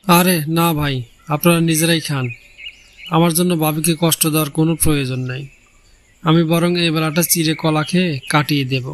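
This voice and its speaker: A man's voice: fairly high pitch, steady tone, fairly quick, a little hiss or hum, close to an everyday voice. A man voicing a polite, reluctant guest in a cartoon story, speaking in a demurring way. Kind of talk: Bengali cartoon fairy-tale voice acting.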